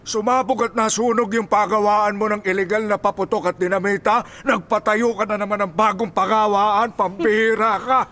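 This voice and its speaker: A man's voice: high-pitched, steady tone, moderate pace, few pauses, fairly bright tone. A man playing an emotional, protective old grandfather in a Filipino radio drama, speaking emphatically and pleadingly. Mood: bitter reproach. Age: older. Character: frail, loving old grandfather, reproachful and protective.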